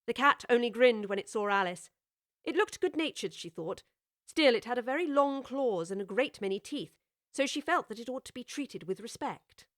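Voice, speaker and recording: A woman reads a storybook aloud in an even, measured way, clearly recorded on a studio mic. Her voice sits fairly high, and the sound is dry and close. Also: no room sound. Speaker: narrator. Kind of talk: storybook narration.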